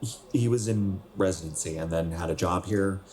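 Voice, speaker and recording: A young man playing a wistful, self-conscious 27-year-old in a naturalistic radio drama, speaking in a halting, conversational way. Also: low-pitched, darkish tone, a little hiss or hum, natural voice. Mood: wistful, guarded. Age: young adult.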